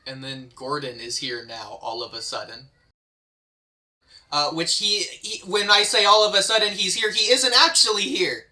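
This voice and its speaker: A man's voice: sits high, normal speed, bright, forceful tone, poor audio. A man leading an amateur script table read, dropping wry, amused casual asides. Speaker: table read host and reader.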